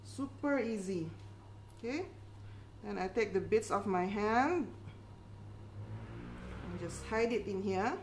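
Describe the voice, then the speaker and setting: A woman's voice: medium pitch, expressive, takes it slowly, pauses often, slight background noise. A middle-aged woman from the Philippines, hosting a casual cooking demonstration in a chatty, reassuring way with frequent little check-in tags. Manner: encouraging, chatty, reassuring, with tag questions like okay. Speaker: home baking instructor and cooking show host. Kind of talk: casual cooking demonstration, code-switching chat.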